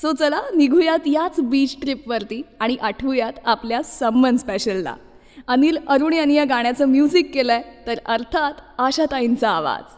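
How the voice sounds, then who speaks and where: A woman's voice: high voice, lively intonation, normal speed, a little mellow. A woman hosting a radio show of classic film songs, talking conversationally to her listeners. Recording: long reverb on the voice.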